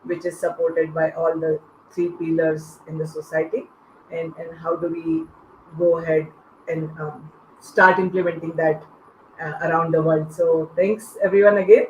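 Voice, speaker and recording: A panel host with a medium-pitched voice moderates off the cuff, pausing and restarting phrases. The online call sounds roomy and dulled, with faint noise behind. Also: little pitch movement.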